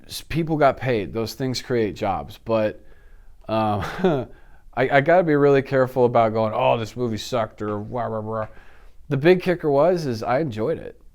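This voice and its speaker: A man in his thirties with a clear, smooth voice and a middling pitch. He talks casually, like a guest on a chat about films and games, and likes to act out reactions as he tells a story. It sounds like a seated indoor recording. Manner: animated storytelling, acts out reactions and sound effects. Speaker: interview guest. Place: indoor office studio.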